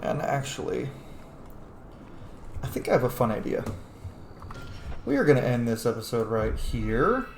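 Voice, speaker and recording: A man's voice: mid-range voice, sing-song, unhurried, pauses often, roomy sound, a little hiss or hum. A man, a young adult, hosting a gaming video with casual, sweary, emphatic commentary. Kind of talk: casual let's-play commentary.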